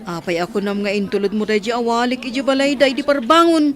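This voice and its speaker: A man's voice: very high-pitched, fast, a bit bright, some chatter behind. A man with an Ilocano accent acting a questioning, wary companion in an audio drama. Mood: questioning, doubtful. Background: faint music.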